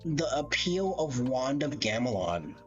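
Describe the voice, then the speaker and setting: A casual live streamer with a very animated, swinging pitch and a slow pace, thinking aloud in an amused ramble. The recording is dull and lo-fi, with music playing behind the voice. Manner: amused, laughs often. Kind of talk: casual livestream commentary, ranking game characters. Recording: dull lo-fi mic with background music. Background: background music.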